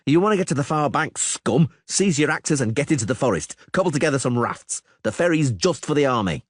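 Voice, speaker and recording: A man voicing a gruff middle-aged soldier who roars threats and orders, in a husky voice. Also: on the high side, animated, a little fast, dry recording, studio quality, voice pushed up. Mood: barking.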